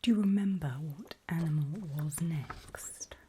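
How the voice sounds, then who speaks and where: A woman playing a calm, reassuring doctor in an ASMR roleplay, speaking in a gentle breathy whisper. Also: low voice, a little slow, a little hiss or hum. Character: calm reassuring female doctor running a creepy memory test.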